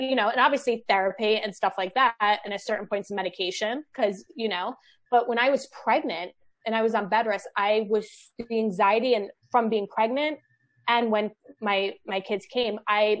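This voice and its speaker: A woman's voice: mid-range voice, fairly even intonation, brisk. A woman talking casually on a podcast, rambling through her story with frequent restarts. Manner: rambling, restarts often, self-deprecating. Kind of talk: casual chat.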